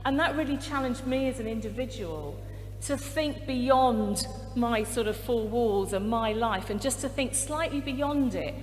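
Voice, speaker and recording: A woman who works in a school, telling a personal story at a church talk in a warm, storytelling way and a big voice. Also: a little high. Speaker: school staff member sharing a story. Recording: long hall reverb with faint music.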